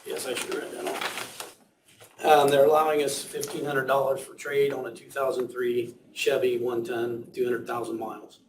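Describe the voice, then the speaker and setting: A man with a hoarse, fading voice presenting bids at a county meeting, reading figures slowly and repeating them. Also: medium pitch, level tone, a little hiss or hum. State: hoarse, losing his voice. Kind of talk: public meeting report. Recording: echoey room, mic at a distance.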